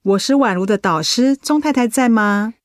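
An actress playing a polite, reassuring schoolteacher in a radio drama, with an explanatory manner. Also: female voice, fairly high pitch, animated, normal speed, no room sound, studio quality, natural voice.

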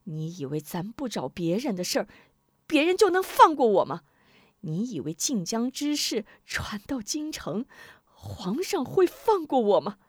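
A woman voicing a scheming male official in a storybook tale, speaking in a breathy, half-whispered menace. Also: on the low side, big pitch swings, normal speed, no echo, studio quality. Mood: harsh.

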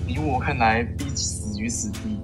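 An ancient wooden pillar spirit, loyal and fretful, acted by a man in a medium-pitched voice. The delivery is urgent and colloquial radio drama, with music clearly playing underneath. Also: close to an everyday voice. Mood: bitter. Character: loyal, anxious thousand-year-old wooden pillar spirit, male. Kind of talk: radio play acting. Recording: music behind the voice.

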